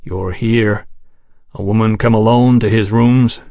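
A man's voice: lowish voice, steady tone, a little slow, mellow, no room sound. A man voicing a grim, weary, menacing in an audiobook, with a dry, toneless, terse delivery. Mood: weary, suspicious.

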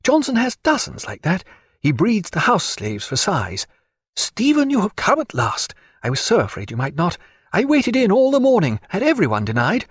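A man voicing an excitable, affectionate, gushing well-bred British woman in an audiobook, eager and emphatic. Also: high voice, moderate pace, slightly rough, no echo, clean studio sound, pitched above the performer's own voice, heavily acted. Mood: eager, fussing. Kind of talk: audiobook character voice.

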